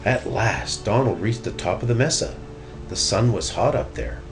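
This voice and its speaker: A man's voice: on the low side, lively intonation, normal speed, gravelly. A man narrating a children's picture book as a storybook reader. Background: music.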